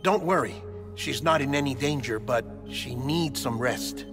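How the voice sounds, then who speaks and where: Earnest middle-aged medic played by a man: gravelly mid voice, explanatory game dialogue in a reverberant space. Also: medium pitch, measured pace, close to an everyday voice. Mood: grave, reassuring. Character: earnest middle-aged doctor and team official. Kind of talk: cinematic video game acting. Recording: long echoing reverb, faint music.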